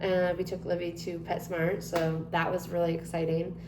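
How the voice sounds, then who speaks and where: A woman's voice: fairly low pitch, flat delivery, normal speed, over music. A woman in her twenties chatting casually to camera, rambling with many ums and likes. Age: 20s. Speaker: vlogger. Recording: echoey room with noticeable hum.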